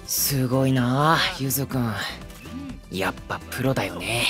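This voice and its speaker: A man's voice: mid-range voice, normal speed, music in the background, close to an everyday voice. A man playing an earnest, boyish, easily flustered young aspiring idol in an audio drama, friendly in manner. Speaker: protagonist and narrator. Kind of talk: anime-style voice drama acting.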